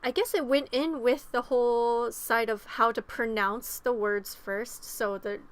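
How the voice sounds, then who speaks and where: A woman's voice: fairly high pitch, fairly even intonation, a little slow, clean tone. A young woman from Los Angeles, co-hosting a podcast in casual chat, rambling with fillers and restarts. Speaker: podcast co-host.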